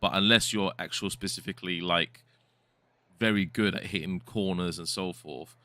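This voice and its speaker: A man's voice: low voice, fairly even intonation, normal speed, pushed and bright, no echo. A man co-hosting a live futsal stream, chatting casually and reading viewer comments aloud. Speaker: host and co-commentator.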